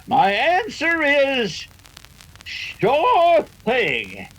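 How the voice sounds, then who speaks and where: Old western rancher dad, husky american drawl, slow, voice swoops high and low. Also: male voice, wildly swooping pitch, a bit bright, full character voice. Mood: pleased, wondering. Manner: halting, repetitive, rustic drawl. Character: folksy old frontier rancher father, excitable and warm-hearted. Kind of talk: old-time radio western acting.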